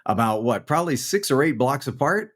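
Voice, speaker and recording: A man in his forties from New Orleans, a guest actor telling his career story in a relaxed, chatty way on a remote call. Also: medium pitch, a little fast. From New Orleans, Louisiana. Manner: relaxed, storytelling, frequent "man" and "you know". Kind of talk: casual interview chat.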